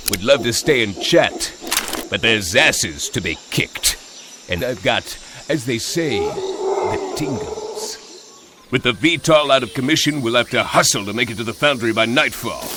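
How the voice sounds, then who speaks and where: A man's voice: medium pitch, animated, unhurried, background noise, lightly acted. A man playing a cocky, brash soldier leading his squad in a game cartoon, swaggering and punchy, with music behind.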